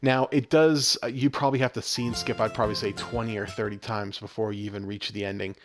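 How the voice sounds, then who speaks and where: A man's voice: fairly low pitch, fairly even intonation, quick pace. An American man giving a casual, informal, instructional video game walkthrough as the guide. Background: game music with guitar.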